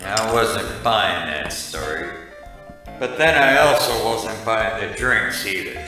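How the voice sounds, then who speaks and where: A man playing a boozy, wisecracking hardboiled private detective in a parody noir radio drama, delivered deadpan. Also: fairly low pitch, takes it slowly, vocal fry, exaggerated voice. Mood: world-weary. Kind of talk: parody noir radio drama, first-person narration and dialogue. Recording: very echoey, distant, music underneath.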